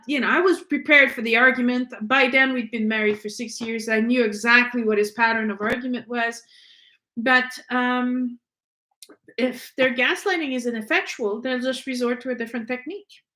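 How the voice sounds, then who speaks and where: A woman's voice: a little high, fairly even intonation, moderate pace, pushed and bright. A woman whose first language is French, hosting a podcast and candidly recounting her own experiences in a conversational way. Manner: candid, conversational, frequent fillers and restarts. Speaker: podcast host. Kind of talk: personal storytelling.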